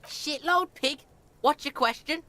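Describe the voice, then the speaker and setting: A woman's voice: high voice, unhurried, pushed and bright. A woman voicing a cocky, foul-mouthed street boy in a video game, sneering and swaggering with hostile defiance. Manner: swaggering, sneering, speaks of self in third person. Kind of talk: video game character acting.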